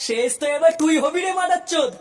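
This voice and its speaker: Someone acting a high-pitched, hot-tempered cartoon club boss. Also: quick pace, over loud music. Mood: furious. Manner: bossy, scolding, blustering. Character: hot-tempered, foul-mouthed young male village club leader. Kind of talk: crude comic cartoon dub.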